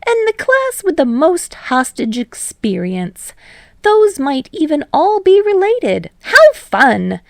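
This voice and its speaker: A woman plays a gleeful little male principal in an audiobook. The voice sits raised and a touch louder, with a chirpy, ceremonious manner. It is recorded close and dry. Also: fairly high pitch, creaky, no room sound, pitched above the performer's own voice, full character voice.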